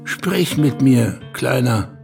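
An intimate narrator, speaks with heavy fry over clearly audible music. The delivery is quiet and observant, in radio drama style. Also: medium pitch, lively intonation, slow pace, exaggerated voice.

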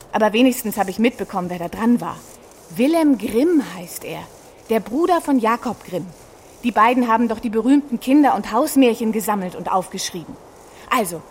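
A highish, expressive actress's take on a woman balloon adventurer from a children's radio play. She chats eagerly to young listeners like a born storyteller. Light ambient noise sits behind her. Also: lightly acted. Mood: fidgety, excited. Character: adventurous, chatty woman hot-air balloon pilot and reporter.

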